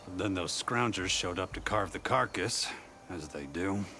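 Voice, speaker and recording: Gravelly, deep rustic local. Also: male voice, expressive, normal speed, sharp tone, a little hiss or hum, exaggerated voice. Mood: impressed, exasperated. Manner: folksy, informal. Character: rustic male onlooker, talkative, impressed and exasperated. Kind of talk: video game dialogue acting.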